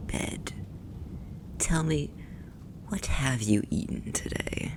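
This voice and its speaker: Slow, gravelly, possessive demon acted out by an ASMR performer. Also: mid-range voice, background noise. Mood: teasing, menacing, then commanding, disdainful. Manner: condescending, teasing, imperious, theatrically formal. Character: possessive, haughty demon toying with a human captive. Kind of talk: ASMR roleplay.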